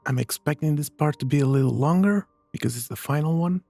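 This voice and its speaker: A gaming streamer with a soft, husky voice, giving casual let's play commentary. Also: medium pitch, fast talker, dry recording. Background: game music.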